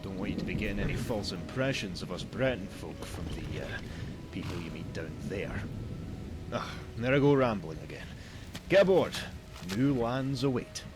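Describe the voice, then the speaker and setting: A weary travelling merchant from a fantasy game, acted by a man, wry and given to rambling. His voice is on the low side, chatty and world-worn. Music plays clearly behind him. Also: lively intonation, normal speed, lightly acted. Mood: wry, urging. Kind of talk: fantasy video game character dialogue.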